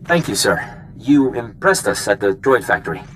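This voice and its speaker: A man's voice: lowish voice, very animated, moderate pace, fairly bright tone, a little hiss or hum. An actor playing a young, dutiful male agent in a video game, formal and respectful. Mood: dutiful, alert.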